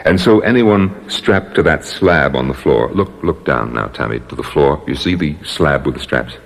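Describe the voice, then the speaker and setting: An actor playing a coldly polite, menacing villain in an old radio drama, speaking in measured, mock-courteous threats. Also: male voice, very deep voice, lively intonation, creaky, exaggerated voice. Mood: sinister relish. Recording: hollow long-reverb radio drama recording.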